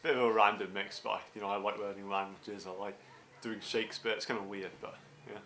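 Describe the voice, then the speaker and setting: An eighteen-year-old young man introducing himself and chatting in a relaxed, self-deprecating way about his school subjects. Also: fairly low pitch, a little fast. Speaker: school leaver interviewee.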